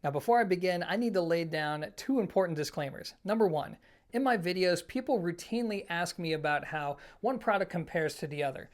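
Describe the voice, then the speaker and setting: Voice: medium pitch, expressive, fairly quick. A reviewer presenting an informal product comparison, explaining things conversationally and speaking directly to viewers. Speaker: host of an audio equipment review channel.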